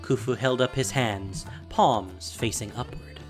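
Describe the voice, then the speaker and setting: A woman narrating a scripted fantasy story in a theatrical, measured, dramatic style. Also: extremely low pitch, faint music behind.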